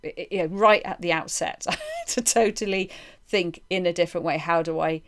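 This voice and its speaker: A woman's voice: on the low side, normal speed, a bit bright. A woman hosting an interview, chatting informally and rephrasing her ideas as she goes. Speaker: interviewer, podcast host. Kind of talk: conversational interview, explaining with examples.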